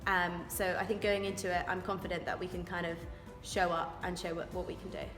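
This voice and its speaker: A woman's voice: on the low side, little pitch movement, brisk. Young woman athlete, informal and keen, recorded in a reverberant hall. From Wales. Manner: chatty, eager, informal. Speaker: rugby scrum-half. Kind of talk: interview answers. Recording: echoey room with long reverb, music behind.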